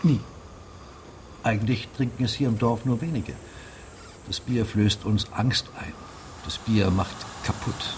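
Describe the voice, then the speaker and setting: An actor playing a defiant, resentful village official in a German radio play, speaking bluntly and with foreboding. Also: male voice, fairly low pitch, a little slow, pauses often, darkish tone, a little hiss or hum, natural voice. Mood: ominous warning.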